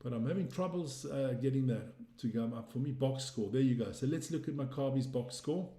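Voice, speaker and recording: A man's voice: mid-range voice, fairly quick, warm tone, reverberant. A man with a South African English accent hosting a casual live stream, rambling through sports stats and reading out viewer comments. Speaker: livestream host.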